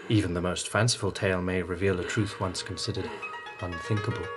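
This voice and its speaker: A man's voice: deep voice, fairly even intonation, a little fast, loud music behind the voice, natural voice. A man acting a courtly palace lord in a radio drama, suave and formal with a probing, insinuating manner.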